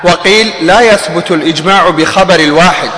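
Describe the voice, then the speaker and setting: A man reading short passages of a classical text aloud in measured recitation. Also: high voice. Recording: echoey hall.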